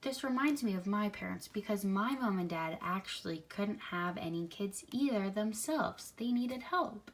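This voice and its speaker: Woman doing a kids read aloud, expressive, chats about the story as she goes. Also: medium pitch, moderate pace, hardly any pauses. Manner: expressive, simple explanations as if to young children. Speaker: storybook reader. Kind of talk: children's read-aloud with think-aloud comments.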